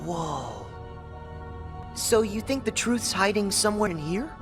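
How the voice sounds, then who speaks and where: A woman voicing a naive, good-natured teenage boy hero in a game, husky and wide-eyed. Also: medium pitch, sing-song, unhurried, over music. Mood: puzzled, willing. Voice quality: husky, boyish. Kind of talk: animated game cutscene acting.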